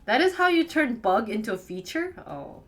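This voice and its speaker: A woman with a Wisconsin accent talks casually to her viewers on a live stream, tossing quick questions back at them. Her voice sits a bit high and swings up and down a lot. Soft music plays faintly behind her. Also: sing-song. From United States. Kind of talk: casual chat answering viewers.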